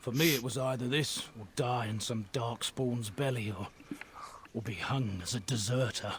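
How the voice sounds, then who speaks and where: An actor playing a guilt-ridden dying soldier, once a king's guard, speaking gravely and haltingly in a fantasy video game. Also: medium pitch, slight background noise, lightly acted. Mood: grieving, bitter.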